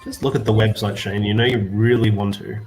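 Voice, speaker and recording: Low-voiced man making dry remarks. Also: little pitch movement, a little fast, darkish tone, soft background music. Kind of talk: casual chat.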